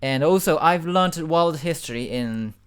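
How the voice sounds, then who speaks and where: Unhurried speaker. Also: medium pitch, expressive, clear, resonant tone. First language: Japanese. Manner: hesitant, halting, with repeated words and fillers. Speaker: video reactor. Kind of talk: casual reaction commentary.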